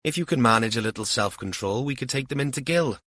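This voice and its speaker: A man's voice: medium pitch, lively intonation, fast, bright, forceful tone, no echo, pitched above the performer's own voice. A man voicing a composed, teasing woman police officer with a dry, questioning manner.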